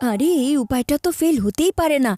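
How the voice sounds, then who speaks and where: A woman voicing an excitable, scheming young boy, a bossy older brother, in a cartoon comedy. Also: sits high, fast, faint music behind. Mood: eager, exasperated. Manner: animated, stammers when flustered. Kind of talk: cartoon dubbing in Bengali comedy.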